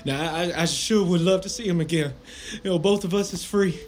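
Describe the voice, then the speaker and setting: A man's voice: high-pitched, brisk, slight background noise, lightly acted. An actor playing an earnest, loyal freed man of the 1860s South in an audio drama. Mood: anxious, hopeful. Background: music.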